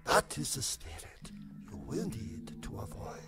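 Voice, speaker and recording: A man's voice: unhurried, over music, lightly acted. A man voicing a vain, foul-tempered alien imperial chancellor in a campy sci-fi comedy audio drama, pompous and explosive in his delivery. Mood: pompous menace. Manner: pompous, grandiose, theatrical, explosive.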